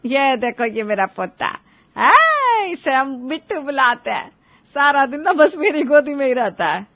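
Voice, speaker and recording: A woman's voice: high-pitched, animated, measured pace, muffled recording, pitched above the performer's own voice. A woman voicing a bossy, doting middle-aged grandmother with a commanding, exclamatory manner in a family story. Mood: proud, commanding. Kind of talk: Hindi family moral story dramatization.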